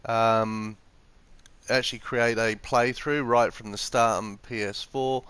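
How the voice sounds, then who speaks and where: A man's voice: medium pitch, fairly even intonation, slow, fairly bright tone, clean tone, no room sound. A congested man with the flu giving casual, hesitant live game commentary on a headset microphone, explaining things as he goes. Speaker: gaming live streamer. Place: room next to an office, windows open. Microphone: PS4 gaming headset microphone.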